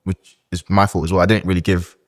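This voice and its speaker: A man's voice: deep voice, level tone, quick pace. A young man, a guest talking candidly and hesitantly in a casual podcast chat, often restarting his sentences.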